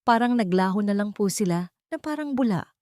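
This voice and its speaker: A woman's voice: mid-range voice, expressive, fairly quick, a little mellow, dry recording, voice pushed up, natural voice. Woman doing a security head guy reporting to his boss, respectful, serious, audio drama, dry sound. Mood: grave, urgent. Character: male security chief, deferential, reporting grim news.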